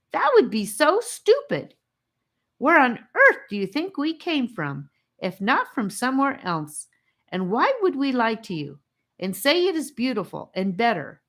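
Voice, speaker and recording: A woman voicing a defiant boy captive who shouts and demands answers. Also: very high voice, voice pushed up, heavily acted.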